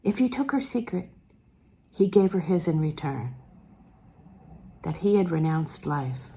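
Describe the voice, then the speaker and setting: A woman narrates literary fiction with a dark, mellow tone at a middle pitch. Her reading is measured and expressive, shaped by deliberate pauses. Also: creaky. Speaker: audiobook reader. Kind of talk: literary narration read aloud.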